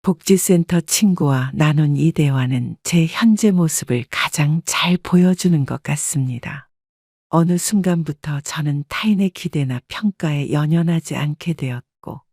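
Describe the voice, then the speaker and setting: A woman in her sixties narrating her own story in the first person, calm and reflective. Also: sits low, measured pace, no room sound, clean studio sound.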